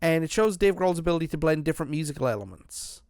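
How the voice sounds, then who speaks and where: A man's voice: on the high side, animated, fast talker, darkish tone, smooth, dry recording, studio quality. A man hosting a podcast, chatting casually about music in a rambling way with lots of restarts. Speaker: podcast host.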